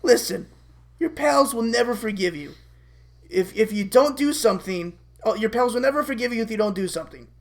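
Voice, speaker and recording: A woman's voice: on the high side, animated, fairly quick, gravelly, a little mellow, voice pushed up. A woman voicing a small, scolding shoulder angel who pleads impatiently in a cartoon-style story. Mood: urgent, impatient. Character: scolding little shoulder angel, moral but impatient boy.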